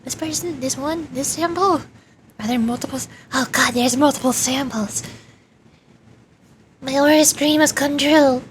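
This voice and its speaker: A woman doing casual livestream commentary as a game streamer, expressive and playfully dramatic. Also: high voice, unhurried, long pauses, slight background noise.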